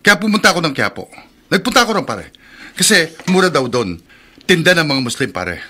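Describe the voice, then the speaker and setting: A man playing an excitable, loyal middle-aged friend in Filipino radio drama, urgent and repetitive as he speaks. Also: on the high side, brisk, slightly rough, heavily acted. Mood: urgent, concerned. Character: excitable, loyal middle-aged male buddy bearing news. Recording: radio drama with background noise.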